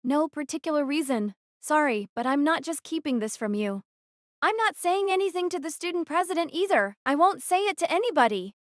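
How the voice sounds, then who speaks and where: A woman's voice: high voice, animated, a little fast, dry recording, clean studio sound. A woman playing an earnest, guarded young female platoon leader in an audio drama, speaking in a serious, firm manner. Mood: apologetic, firm.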